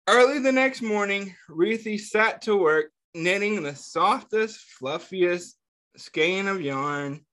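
A man with a slightly high voice reads a children's book aloud, slow and unhurried. He stops between passages to ask his young listeners gentle questions and to react to their answers. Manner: slow, pausing reading with small slips. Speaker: children's storyteller. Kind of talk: read-aloud storytime.

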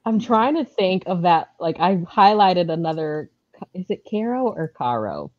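A woman's voice: lowish voice, unhurried, no room sound. A young adult woman chatting casually and expressively as a panelist in an online book discussion. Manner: informal, expressive. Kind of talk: casual chat. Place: indoor home room on a video call. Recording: slightly dull video-call audio.

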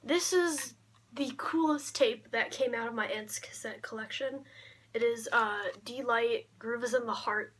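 A young woman talking casually in a low-key show-and-tell vlog, often trailing off, recorded on a camera mic in a bedroom. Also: measured pace, a bit bright. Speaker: vlogger showing a collection. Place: small cluttered bedroom, indoors. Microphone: camera mic at arm's length. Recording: clear background noise, handling clicks.

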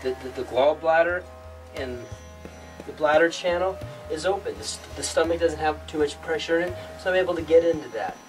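A young man giving a meandering spiritual yoga talk in an outdoor rock cave, halting often and restarting his sentences. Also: fairly high pitch. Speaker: yoga teacher. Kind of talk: rambling spiritual teaching monologue. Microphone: far camera. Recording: distant mic with music behind. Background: instrumental music.

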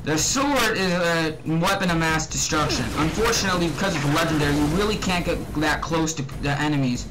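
A young man giving casual, excitable gameplay commentary, often muttering to himself and exclaiming as he plays. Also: fairly high pitch, fairly quick, hardly any pauses, noisy background. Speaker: let's play gamer. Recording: loud game music and gunfire behind. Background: loud video game music and gunfire.

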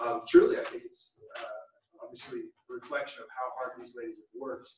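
A man, a stage actor, reflecting informally on a production, halting and then emphatic. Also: medium pitch, a little hiss or hum. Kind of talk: informal post-performance reflection. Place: small theatre stage, distant camera. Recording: very echoey theatre, far from mic.

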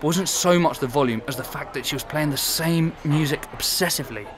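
An actor playing a chatty, laughing young ex-flatmate. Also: male voice, on the high side, a little fast, slight background noise, lightly acted. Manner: chatty, laughs while telling. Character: chatty young man, ex-flatmate of a missing student. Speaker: former flatmate. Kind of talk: conversational audio drama acting.

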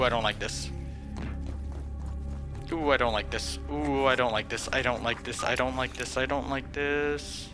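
A man reacting live to a video game, jumpy and exclaiming, often panicky and rambling. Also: fairly high pitch. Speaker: gameplay streamer.

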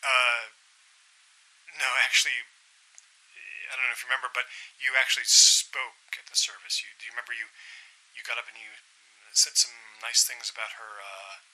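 An actor playing a polite, persistent, obsessed man in an audio drama, speaking in a hesitant, apologetic and halting way. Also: mid-range voice, flat delivery, pushed and bright, poor audio. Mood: awkward, insistent. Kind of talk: naturalistic audio drama acting.

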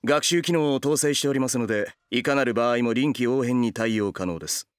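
An actor playing a stern, exasperated workaholic retainer who speaks formally and curtly in a comic anime drama. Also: male voice, mid-range voice, brisk, clear, resonant tone, no room sound, studio quality, natural voice. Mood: brisk, resigned. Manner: formal, curt, businesslike. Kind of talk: anime voice drama comedy acting.

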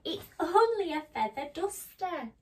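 A sensible little storybook mouse, played by a woman, high and slow. It draws out its words in a chiding, reassuring way, brushing off any worry. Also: very animated, far from the mic, slight background noise, exaggerated voice. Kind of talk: children's storybook read-aloud.

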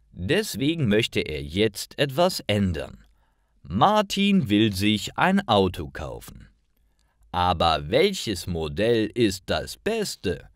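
Male narrator for learner stories: unhurried, precise, highly expressive intonation. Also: no room sound, clean studio sound. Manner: careful, clearly enunciated reading. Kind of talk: scripted story narration for language learners.

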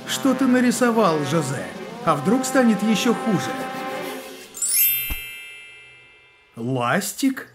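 A man voicing a curious, cautioning cartoon companion in a children's show, with expressive, advising delivery. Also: high voice, measured pace, over music. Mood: alarmed, urgent. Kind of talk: animated children's cartoon dialogue.

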